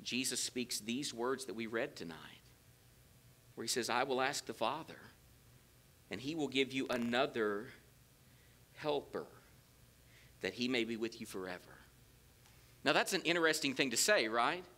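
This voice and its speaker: A man's voice: mid-range voice, a little slow, long pauses. A middle-aged man with a Southern accent, preaching a sermon in a church with folksy asides. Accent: Southern American. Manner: animated, gestures widely while preaching; folksy asides between scripture quotes. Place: large church sanctuary with empty pews. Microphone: lectern mic, roams away at times.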